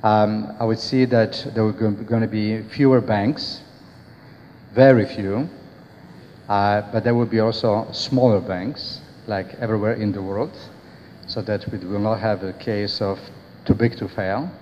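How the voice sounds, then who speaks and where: An older man in perhaps his seventies giving halting, deliberate unscripted remarks as a panelist into a handheld microphone. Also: fairly low pitch, fairly even intonation, a little mellow. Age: older, about seventies. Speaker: panelist, business executive. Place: panel table in brick-walled indoor hall. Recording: echoey hall, dull sound, faint noise.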